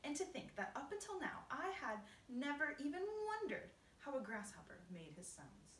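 A woman acts out a polite little boy in a children's tale, earnest and full of wonder. The voice lifts higher and moves expressively, recorded in a roomy space. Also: very animated, normal speed, distant mic, a little hiss or hum. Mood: delighted, curious.

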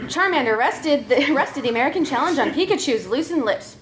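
High-pitched, animated woman reading comic stories. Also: brisk, a little hiss or hum, rough recording. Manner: giggly, breaking into laughter as she reads. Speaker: comedy troupe member reading a story. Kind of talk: comic story reading to an audience.

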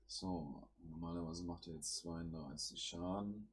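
Deep husky man with a cold. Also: fairly even intonation, unhurried. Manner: thinks aloud while playing, with fillers. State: has a cold. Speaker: gaming channel host. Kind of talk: casual gameplay commentary.

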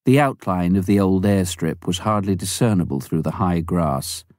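A man with a low, mellow voice reads a memoir aloud. His delivery is measured and unhurried. The recording is dry and close, with no room sound. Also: no echo. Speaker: audiobook reader. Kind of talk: scripted memoir narration.

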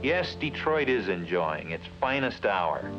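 A man's voice: fairly low pitch, sing-song, a little slow. A man narrating an old promotional film in a grand, declamatory style. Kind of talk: vintage documentary narration. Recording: dull lo-fi archive film sound with music.

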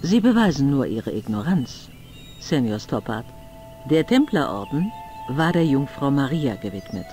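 Proud old noble in an adventure game, formal and haughty, pitch swoops a lot, soft music. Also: male voice, big pitch swings, a little slow. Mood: guarded, haughty. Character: proud, stern elderly aristocrat with noble ancestry. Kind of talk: adventure game voice acting, German dub.

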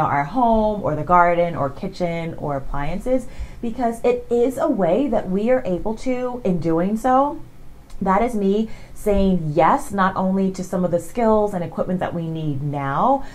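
A woman's voice: lowish voice, slight background noise. A woman in her thirties talking casually to camera from her living room, earnest and chatty with lots of "you know". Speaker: vlogger. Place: living room with blue couch. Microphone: handheld shotgun mic.

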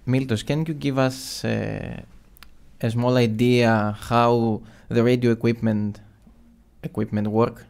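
Gravelly, smooth-toned male interviewer. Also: medium pitch, flat delivery, takes it slowly, a little mellow. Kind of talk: polite unscripted interviewing.

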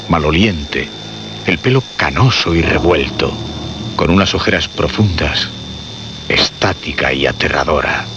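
An actor playing the solemn male narrator of a radio horror tale, speaking in grave, measured, dramatic phrases. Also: very deep voice. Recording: muffled radio recording over clear music.

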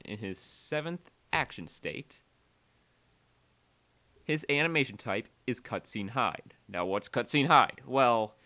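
A man narrates a software tutorial in a casual, conversational way. His voice is bright and forward, and his pitch moves around a lot. His energy is uneven as he steps through explanations and dwells on key words. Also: expressive, slow. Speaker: tutorial creator and presenter.

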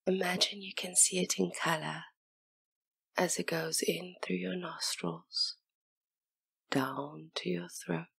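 Woman, guided meditation, soft breathy delivery, creaky edges, gentle and soothing, close dry recording. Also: fairly low pitch, no echo. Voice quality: soft, breathy, often near whisper. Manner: soothing, gentle, unhurried instruction. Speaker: hypnotist and session guide.

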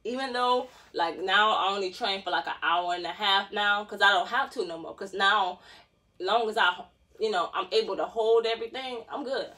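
A woman's voice: medium pitch, moderate pace, bright, forceful tone. Chatty young woman stretching on a floor. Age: 20s. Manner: chatty, joking, lots of laughs and slang. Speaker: dance vlogger. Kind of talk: casual chat to camera while stretching. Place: small room with wooden floor, indoors. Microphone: phone camera on the floor.

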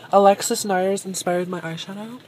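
A woman's voice: mid-range voice, steady tone, normal speed, darkish tone. Bubbly young woman vlogging on a phone. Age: young adult. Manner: bubbly, self-admiring and chatty. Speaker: thrift vlogger. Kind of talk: casual vlog chat. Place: inside a parked car and a thrift store. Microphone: phone camera held at arm's length. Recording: noisy phone recording.

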